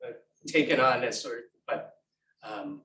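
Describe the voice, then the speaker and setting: A man's voice: medium pitch, normal speed, sharp tone. A man speaking as a musician on a panel, loose and rambling with many fillers. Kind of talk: panel discussion. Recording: echoey room, far from mic.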